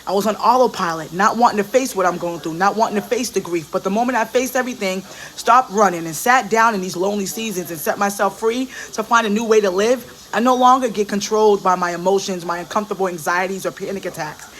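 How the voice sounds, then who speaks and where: A woman's voice: mid-range voice, quick pace, slight background noise. A woman delivering an earnest, preachy motivational monologue, urging women again and again to speak up for themselves.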